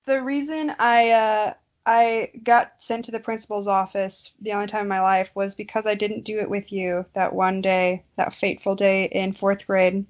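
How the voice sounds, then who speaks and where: A woman co-hosting a podcast, chatting casually and telling anecdotes in an animated, joking, slangy way. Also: medium pitch, normal speed, few pauses, muffled recording. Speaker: podcast co-host. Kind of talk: casual chat.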